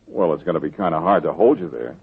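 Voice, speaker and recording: An actor playing a wry, confident male private detective in a 1940s radio drama, with a slightly husky voice. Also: rumbling low, animated, fast talker, full character voice. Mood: easygoing. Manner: dry, teasing. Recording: tinny old radio broadcast.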